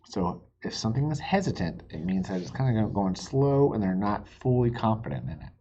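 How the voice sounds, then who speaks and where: A storybook reader giving an expressive read-aloud for children, explaining things simply. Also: low voice, a little fast, dull audio, a little hiss or hum.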